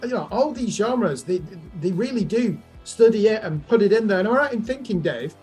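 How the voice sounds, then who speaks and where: A man's voice: sits high, animated, moderate pace, a little mellow. A man co-hosting a casual music discussion, giving opinions with emphasis. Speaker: music reaction show co-host.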